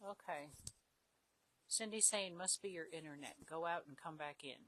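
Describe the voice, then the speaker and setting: A soft-spoken woman co-hosting a live sewing stream and passing along viewer comments. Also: low-pitched, normal speed. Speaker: co-host reading viewer comments. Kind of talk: casual live-stream chat.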